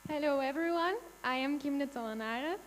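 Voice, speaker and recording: A woman in her twenties introduces herself in a high, careful voice. Her words ring in a reverberant room with a faint hiss behind them. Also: fairly quick. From Belgium. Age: 20s. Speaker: pageant contestant.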